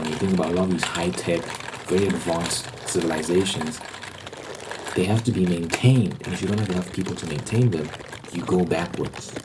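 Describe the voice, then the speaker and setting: Male ASMR rambler, low register, emphatic and repetitive delivery, noticeable background noise. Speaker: ASMR video host.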